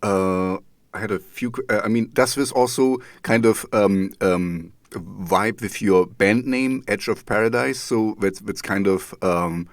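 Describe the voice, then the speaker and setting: A man's voice: on the low side, measured pace, no echo. A man from East Germany with a German accent, hosting an interview in a hesitant way, full of fillers and restarts. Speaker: podcast host. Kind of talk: casual interview over a call.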